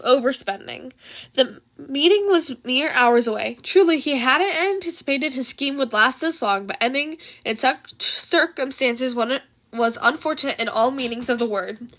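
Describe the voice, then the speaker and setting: A woman with a very high-pitched voice narrates fan fiction. She is an amateur reader who tells the story expressively, in an informal homemade audiobook style. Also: a bit bright. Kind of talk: expressive scripted story narration with casual asides.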